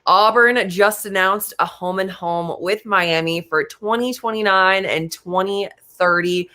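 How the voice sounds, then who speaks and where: Animated young man talking football. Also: high-pitched. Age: late 20s. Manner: animated, talks in quick bursts with many fillers. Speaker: sports show co-host. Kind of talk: casual sports talk.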